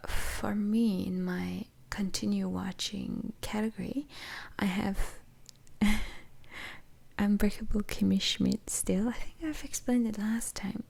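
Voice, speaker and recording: A woman's voice: mid-range voice, gravelly, a little mellow. A woman whispering close to the mic in a casual ASMR chat, giggling often and rambling with fillers.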